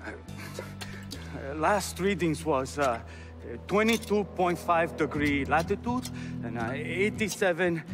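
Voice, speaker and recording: Halting operative over game music. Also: male voice, fairly high pitch, expressive. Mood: halting, strained. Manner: halting, searching for words. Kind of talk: video game cutscene acting.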